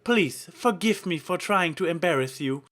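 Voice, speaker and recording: A man voicing a theatrical, flattering female unicorn showoff in a story reading. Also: on the high side, moderate pace, pitched above the performer's own voice. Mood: theatrical humility. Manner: theatrical, exaggerated.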